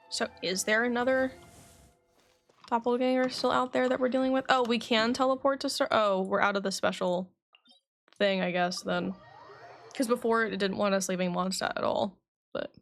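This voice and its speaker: A woman livestreaming a game, chatting casually and reading story text aloud, stumbling and correcting herself. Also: a little high. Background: game music.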